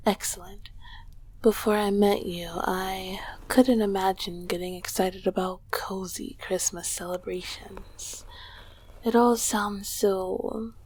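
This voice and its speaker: A woman voicing an ancient succubus queen in an intimate ASMR roleplay, tender, regal and teasing, with a breathy, husky, often whispering voice. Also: mid-range voice, steady tone, low-quality recording. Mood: regal, contented. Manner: slow, affectionate, softly teasing.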